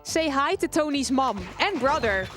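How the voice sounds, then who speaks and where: A woman's voice: high voice, expressive, moderate pace, over music. A woman hosting a gaming marathon, announcing and reading out donation messages. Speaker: speedrun marathon host.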